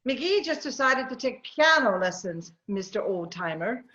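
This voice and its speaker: An actress playing a sharp-tongued, long-suffering wife in an old-time radio comedy, wry and teasing, on a muffled, roomy recording. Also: female voice, fairly high pitch, very animated, measured pace, full character voice.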